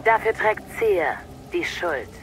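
A woman's voice: fairly low pitch, very animated, slow, slight background noise, rough recording. Cold, taunting female Imperial villain. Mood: cold, smug. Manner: taunting, condescending. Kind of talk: German audio drama villain acting.

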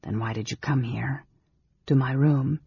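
A narrator of a fantasy audiobook voicing a bitter, sickly young male mage with a breathy, hissing, menacing intensity. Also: medium pitch, steady tone, a little slow, exaggerated voice. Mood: accusing, anguished. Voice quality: breathy, raspy, hushed. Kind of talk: dramatized fantasy audiobook dialogue. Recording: echoey, dull recording.

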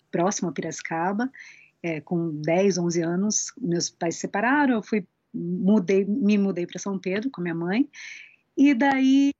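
A woman's voice: on the low side, a little mellow, breathy edge, dry recording. A Brazilian woman from São Paulo state with a Brazilian Portuguese accent, chatting casually as a guest singer in an interview. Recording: dry, dull lo-fi sound.

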